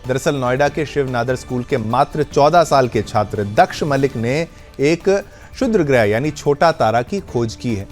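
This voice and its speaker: A man's voice: medium pitch, normal speed. Male news anchor over background music. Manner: explanatory, repeats and rephrases points for viewers. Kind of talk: news presentation with conversational explanations. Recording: music bed under the voice.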